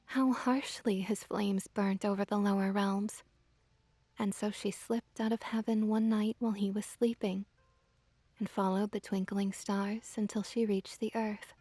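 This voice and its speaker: A woman softly narrating a scripted ASMR story in a gentle, measured, breathy whisper. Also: medium pitch, no room sound. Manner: soft, gentle, measured delivery.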